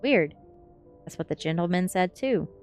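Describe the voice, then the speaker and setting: A woman's voice: on the low side, expressive, moderate pace, a little mellow, faint music behind, lightly acted. Chatty museum woman, as a female narrator reads her. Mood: mildly surprised. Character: helpful museum woman, chatty and matter-of-fact.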